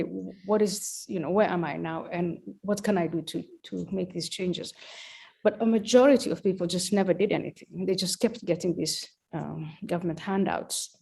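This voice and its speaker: A middle-aged woman from Somalia, a podcast guest telling her story in a measured, reflective way. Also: lowish voice, creaky, darkish tone, breathy edge. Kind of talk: interview conversation.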